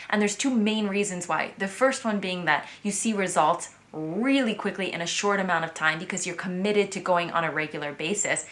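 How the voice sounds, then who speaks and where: A woman's voice: medium pitch, fairly bright tone. An American woman in her thirties, chatting playfully to camera in a casual vlog. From United States. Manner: chatty and playful, with light asides. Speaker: vlogger.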